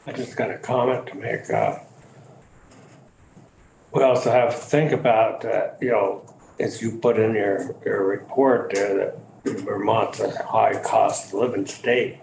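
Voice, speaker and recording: A legislator making a plainspoken comment during a committee hearing. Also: male voice, lowish voice, steady tone, measured pace, gravelly, darkish tone. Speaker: committee member. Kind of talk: committee discussion. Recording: echoey hearing room with noise.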